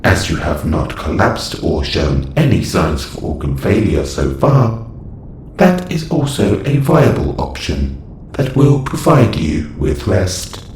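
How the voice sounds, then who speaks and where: A man acts out a calm, clinical robot caretaker in a science fiction audio roleplay. His deep male voice moves slowly through stilted, precise sentences. The recording is roomy and echoing. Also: full character voice. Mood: clinical, advisory. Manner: stilted, precise, formal phrasing. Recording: roomy echo, faint background noise.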